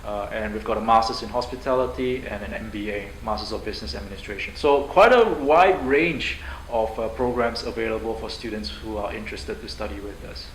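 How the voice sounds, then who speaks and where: A man's voice: fairly low pitch, brisk, hardly any pauses. A man from Singapore, a university president answering interview questions in an upbeat, fluent way with frequent fillers. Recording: echoey room, faint noise.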